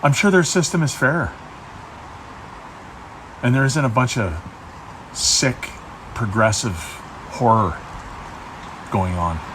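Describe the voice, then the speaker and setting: A man's voice: mid-range voice, very animated, slow, long pauses, a bit bright, slight background noise, rough recording. A man ranting in a mocking, sarcastic manner.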